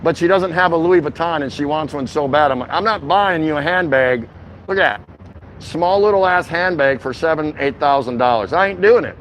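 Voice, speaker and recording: A middle-aged man with a slightly high voice talks off the cuff as he walks outdoors. His delivery is easygoing and slangy, like a livestreamer chatting with regulars. Light city and traffic noise runs behind him. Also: moderate pace, a bit bright, poor audio. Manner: easygoing, rambling, slangy, addresses viewers by name. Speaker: vlogger. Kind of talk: casual livestream walking-tour chat. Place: outdoors, walking through a city shopping district.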